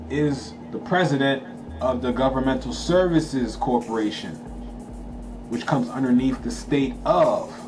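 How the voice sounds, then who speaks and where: A man from Haiti, a Creole speaker, reading a document aloud and breaking off to explain it in casual commentary, checking in with listeners. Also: mid-range voice. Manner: emphatic, checks in with listeners, slangy asides. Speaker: channel host.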